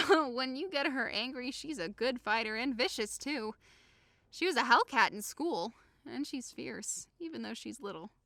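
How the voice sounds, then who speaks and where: A woman's voice: on the high side, lively intonation, normal speed, pushed and bright, dry recording, studio quality. A woman playing a timid, lovesick, easily wounded young woman, plaintive and melodramatic, in a modernized Shakespeare audio drama. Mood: catty.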